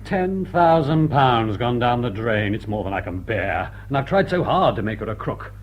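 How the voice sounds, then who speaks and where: A suave gentleman crook in a classic radio drama. His droll, mock-grave lines move with animated pitch and a husky edge. The sound is muffled and lo-fi. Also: moderate pace. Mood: mock sorrow. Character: suave, witty gentleman crook, loyal accomplice.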